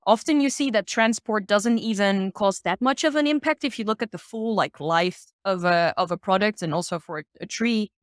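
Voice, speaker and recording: Female, mid-pitched voice, casual explanatory talk, informal, upbeat, dry sound. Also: moderate pace, hardly any pauses, dry recording. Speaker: sustainability expert guest.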